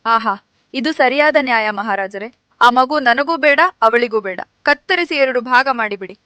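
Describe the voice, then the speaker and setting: A woman's voice: a little high, animated, a little slow, bright, forceful tone, no echo. A woman voicing a scheming young woman who insists, accusingly, that a baby is hers. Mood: cold.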